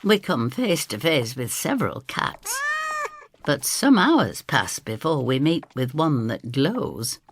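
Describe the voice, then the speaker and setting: A woman giving expressive, theatrical first-person narration of a scripted story. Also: a bass voice, takes it slowly, noisy background. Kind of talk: scripted dramatic narration in character.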